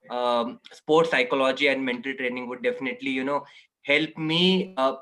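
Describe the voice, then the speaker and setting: A man talks easily over a video call, with just a few fillers. His voice has a bright, forward edge. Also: medium pitch, rough recording. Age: 20s. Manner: relaxed, fluent with light fillers. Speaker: participant, athlete.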